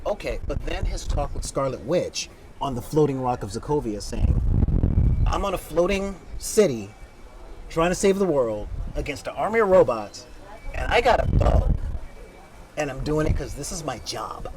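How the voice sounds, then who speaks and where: A man hosting a casual film panel, talking in an animated way with quick asides. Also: on the high side. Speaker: panel host. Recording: noisy outdoor recording with wind.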